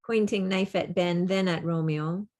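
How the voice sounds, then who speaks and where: A woman's voice: on the low side, steady tone, unhurried, darkish tone. A woman reading out stage directions at a play's table read, in plain, clipped phrases. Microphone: video call.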